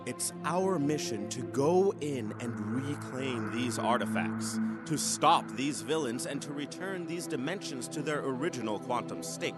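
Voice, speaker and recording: An actor playing a commanding, smooth-talking mission leader with a secret scheme, authoritative and theatrical in a sci-fi audio drama. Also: male voice, mid-range voice, animated, measured pace, hardly any pauses, loud music behind the voice, close to an everyday voice. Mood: grave, persuasive.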